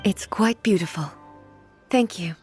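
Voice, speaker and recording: A woman voicing a dignified, calm deity who rules a land in a video game, speaking in a measured, formal, contemplative way with a breathy voice. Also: medium pitch, soft background music, lightly acted. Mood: composed. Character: dignified, reflective female deity ruler, calm and solemn.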